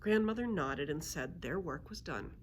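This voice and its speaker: A woman's voice: sits low, lively intonation, normal speed, slight background noise. A woman narrating a children's book aloud as a librarian storyteller.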